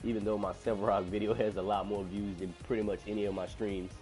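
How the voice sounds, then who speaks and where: Male game streamer, low-pitched, nasal from a lingering cold, rambling casual talk, faint music underneath. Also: level tone, fast, darkish tone. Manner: chatty, rambling, complains about rude players. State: recovering from illness. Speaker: gaming streamer. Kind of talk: casual live-stream commentary.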